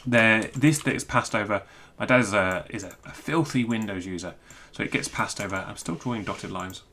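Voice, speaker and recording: A man giving an informal technical screencast walkthrough, thinking aloud in a halting, self-interrupting way. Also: lowish voice, sharp tone, slight background noise. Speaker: presenter explaining technical material.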